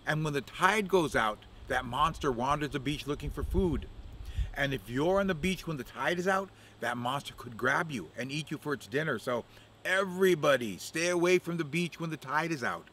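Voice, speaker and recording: A man's voice: a little high, lively intonation, moderate pace, a bit bright, a little hiss or hum. A man voicing a chorus of worried animals warning each other. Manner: earnest warning, pleading.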